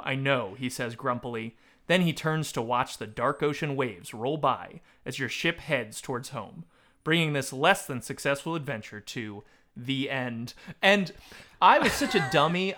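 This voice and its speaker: A man hosting a casual conversation in an animated, emphatic way, sometimes reading aloud. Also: medium pitch, moderate pace. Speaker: podcast host. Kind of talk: casual chat, some reading aloud from a book.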